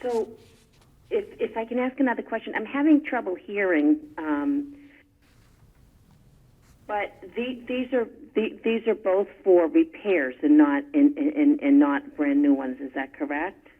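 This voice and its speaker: A man's voice: high-pitched, unhurried. A man on the board, calling in and asking hesitant questions, repeating words as he goes. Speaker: board commissioner. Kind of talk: questions at a public meeting. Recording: tinny phone-like line.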